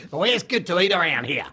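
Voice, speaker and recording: A woman's voice: lowish voice, sharp tone, a little hiss or hum, exaggerated voice. A woman playing a chatty, nosy alien tourist in an audio comedy, with a breezy manner.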